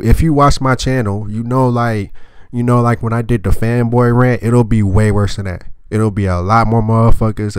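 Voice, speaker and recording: A man's voice: medium pitch, a little mellow, smooth, no room sound, clean studio sound. Congested young man ranting bluntly. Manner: blunt, profane, rambling with filler words. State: congested, nasally from a cold. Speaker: anime review channel host. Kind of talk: casual vulgar rant to camera.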